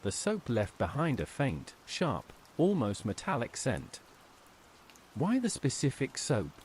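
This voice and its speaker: A man's voice: medium pitch, wildly swooping pitch, unhurried, darkish tone, slight background noise. A man reading a scripted first-person horror story as its narrator.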